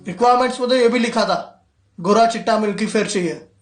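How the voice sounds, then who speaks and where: A man's voice: high-pitched, quick pace, soft background music, close to an everyday voice. A man playing a dismissive casting boss, curt and condescending. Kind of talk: acted drama dialogue. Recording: echoey room.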